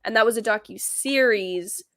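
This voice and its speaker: A woman's voice: on the high side, moderate pace, fairly bright tone. Chatty young woman with a stuffy cold. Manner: chatty, with many fillers like "like". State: slightly hoarse, congested from a cold. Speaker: livestream host. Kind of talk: casual chat.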